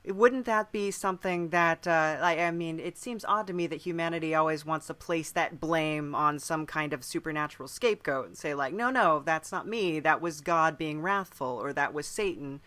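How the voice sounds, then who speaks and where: A man's voice: on the high side, normal speed, hardly any pauses, pushed and bright. A man working as a podcast interviewer, chatting casually in a musing, discursive way.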